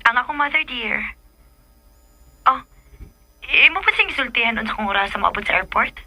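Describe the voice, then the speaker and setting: A woman's voice: fairly high pitch, lively intonation, normal speed, pushed and bright, no echo, heavily acted, poor audio. A woman acting a hurt, reproachful young girlfriend in a Filipino radio drama, with a Bisaya accent. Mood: sulky.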